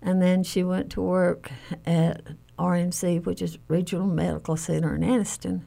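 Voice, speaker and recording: An older woman shares recollections in conversation as an interviewee. Her voice is low and mellow, with a marked gravelly creak. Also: flat delivery, moderate pace. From Alabama, United States. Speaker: interviewee, family caregiver.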